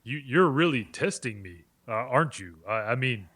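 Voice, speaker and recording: A man's voice: mid-range voice, expressive, moderate pace, bright, forceful tone. A male AI chatbot voice that stammers and repeats its words while talking with podcast hosts. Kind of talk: voice assistant chat.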